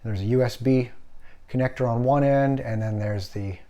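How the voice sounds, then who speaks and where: A man reviewing a gaming headset, showing its parts and explaining them in a relaxed, conversational way with a few "uh" fillers. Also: medium pitch, moderate pace, highs cut off. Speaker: tech product reviewer. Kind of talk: hands-on product review.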